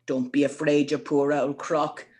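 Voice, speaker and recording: A woman playing an indignant, sharp-tongued young woman in a theatrical, scornful dramatic reading of comic verse. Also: low-pitched, flat delivery, unhurried. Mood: taunting. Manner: scornful, theatrical, emphatic delivery.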